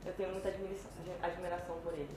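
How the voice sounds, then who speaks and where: A woman's voice: on the low side, level tone, very fast. A woman in her twenties with a Brazilian accent, speaking as a pageant contestant in a live question session. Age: 20s. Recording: very echoey, noisy room.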